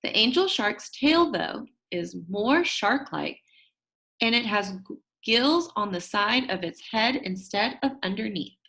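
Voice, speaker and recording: A woman's voice: fairly high pitch, expressive, a little slow, pushed and bright, low-quality recording. A woman reads a children's story aloud, telling it expressively and acting out the characters' lines. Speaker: audiobook reader.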